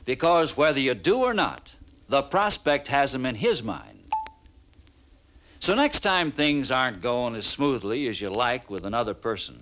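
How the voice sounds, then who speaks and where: A man's voice: medium pitch, fairly bright tone. An American man narrating in 1950s training film style, persuasive and punchy, full of rhetorical questions. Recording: vintage 1950s film soundtrack.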